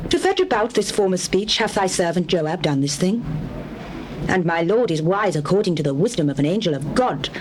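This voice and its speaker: A woman's voice: mid-range voice, a little mellow, a little hiss or hum, natural voice, poor audio. An actress playing a pleading widow before a king in a dramatized scripture recording, speaking in formal, theatrical, archaic phrases.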